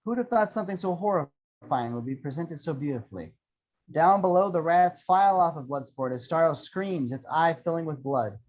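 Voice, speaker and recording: Man, slightly high voice, scripted action narration, table read, dull lo-fi audio. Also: a little mellow. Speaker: reader of screenplay action lines. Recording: dull lo-fi sound.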